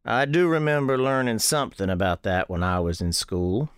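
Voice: mid-range voice, animated, moderate pace, smooth, no echo, close to an everyday voice. Old Southern storekeeper man acted by the narrator: kindly, folksy, easygoing, close dry sound; radio tale. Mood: curious.

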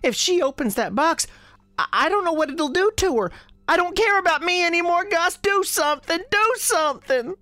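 A woman's voice: very high voice, lively intonation, moderate pace, pushed and bright, full character voice. A woman playing a frightened ghost girl, an imaginary friend, in a radio drama, pleading with breathless urgency. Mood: desperate pleading.

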